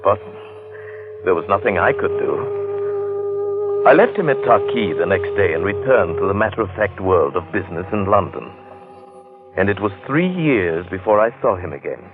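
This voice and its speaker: A man playing a genteel, curious London businessman in an old-time radio drama, with expressive, old-fashioned phrasing. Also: low-pitched, normal speed, pauses often, music in the background. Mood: reflective narration. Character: John Buchan, affable curious London businessman recounting his strange tale. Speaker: narrator and lead actor. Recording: muffled vintage radio drama recording.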